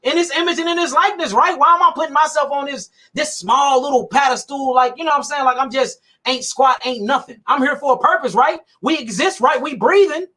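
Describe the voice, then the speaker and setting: A man with a very high-pitched voice preaches in an emphatic, colloquial monologue. He repeats his points for effect and laughs often between them. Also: brisk, few pauses, pushed and bright. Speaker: alternative health speaker.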